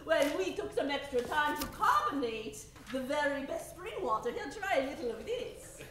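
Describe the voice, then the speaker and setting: A woman's voice: high-pitched, big pitch swings, moderate pace, noisy background. A woman acting an eager French server in a comic old time radio advertising skit, with theatrical delivery. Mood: coaxing, cheerful. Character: eager French female server offering sparkling water. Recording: very echoey stage, distant mic.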